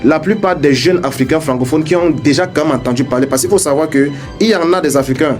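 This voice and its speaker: Fast-talking man, mellow voice. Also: a little high, soft background music. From Cameroon. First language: English. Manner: fast, halting talk with many restarts and repeated words. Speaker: radio guest, crypto entrepreneur. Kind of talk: radio interview. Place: radio studio.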